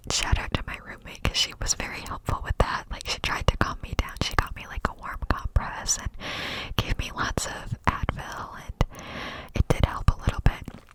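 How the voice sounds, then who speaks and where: A young woman telling a personal story in a chatty whisper, with many fillers. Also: low-quality recording. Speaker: vlogger.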